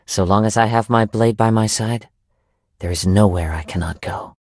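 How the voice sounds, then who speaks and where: A young man playing a gentle, poetic wandering samurai in a video game, soft-spoken and reflective, with formal, measured phrasing. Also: fairly low pitch, dry recording, studio quality. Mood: serene, reflective. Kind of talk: video game character voice lines.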